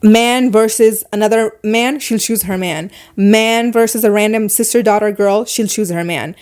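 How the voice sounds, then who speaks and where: A woman with a foreign accent hosting a podcast, talking through her opinions in casual chat, animated and fast in bursts. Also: medium pitch, clear, resonant tone. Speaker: podcast host.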